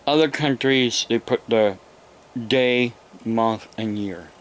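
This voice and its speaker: An American man hosting an informal, unscripted hobby vlog, talking in a halting, rambling way with long pauses. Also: mid-range voice, slight background noise, rough recording. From United States. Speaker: YouTube radio hobby host.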